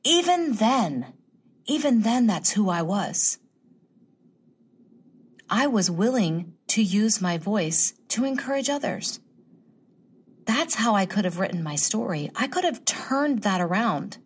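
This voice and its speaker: A woman's voice: mid-range voice, sing-song, slow, pauses often, a little hiss or hum. Emphatic, encouraging woman podcast host. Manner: emphatic, encouraging, repeats phrases for effect. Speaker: podcast host, motivational coach. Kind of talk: motivational podcast monologue.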